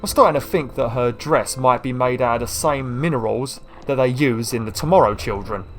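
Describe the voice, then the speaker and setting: A man with a croaky voice, giving rambling casual commentary while playing a video game. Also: mid-range voice, fairly quick, fairly bright tone, faint music behind. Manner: rambling, chatty, self-deprecating. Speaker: gaming YouTuber.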